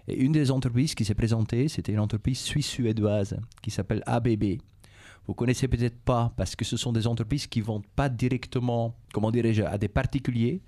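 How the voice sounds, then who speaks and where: A middle-aged Belgian executive whose first language is Dutch, speaking French with a Flemish accent and answering questions in a measured way, with frequent hesitations. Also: male voice, mid-range voice, warm tone. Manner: measured, repeats himself, frequent "euh" and "et cetera". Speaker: business executive interviewed by schoolchildren. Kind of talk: calm explanatory interview answers.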